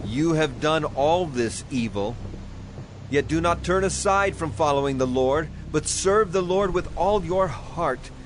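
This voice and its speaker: A man's voice: on the high side, animated, unhurried, a little hiss or hum. An actor playing an aging, righteous prophet addressing his people in solemn, declamatory dramatized scripture. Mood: reassuring, firm. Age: older. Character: aging male prophet, solemn, righteous, stern yet caring. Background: music.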